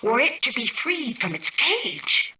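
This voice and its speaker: A woman playing a cold, imperious mainframe AI in a video game, menacing and calculating, with formal, commanding, measured robotic delivery. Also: a little high, sing-song, bright, forceful tone, heavily acted. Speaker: game antagonist turned ally. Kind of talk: scripted video game voice acting. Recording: distant, echoey processed game audio.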